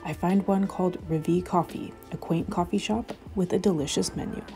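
A young woman hosts a casual travel vlog, her voice a touch low and her delivery chatty and upbeat as she speaks straight to viewers. Loud background music plays under everything she says. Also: fairly even intonation, a little fast, gravelly. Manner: upbeat, chatty tour-guide narration to viewers. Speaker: travel vlogger.

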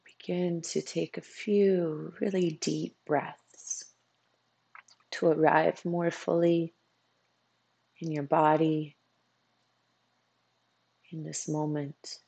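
A meditation coach guiding a practice in a soothing way, with unhurried phrases, long pauses and a husky, gravelly voice. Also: mid-range voice, flat delivery, darkish tone, dry recording, poor audio. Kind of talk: guided meditation.

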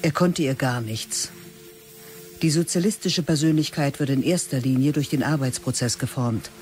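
A man narrating a radio play in a literary style, terse, measured and sober. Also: mid-range voice, a little mellow, soft background music.

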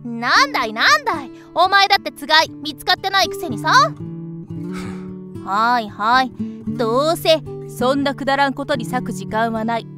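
A woman playing a cheeky, tomboyish young beast-woman in a voice drama, blunt, casual and expressive. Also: high-pitched, normal speed, music in the background. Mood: huffy. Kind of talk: voice drama acting.

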